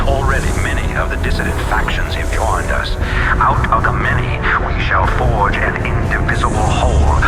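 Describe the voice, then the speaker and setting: An actor playing a solemn, grandiose middle-aged ruler giving a stately, rhetorical address in a video game. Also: male voice, fairly low pitch, natural voice. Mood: triumphant resolve. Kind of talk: formal oration in a video game. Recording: loud score behind the speech.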